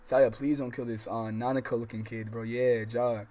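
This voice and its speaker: A man's voice: medium pitch, level tone, moderate pace, mellow. An American man hosting a casual let's-play livestream, reading story text aloud with stumbles and reacting in slangy, profane talk. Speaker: livestream host.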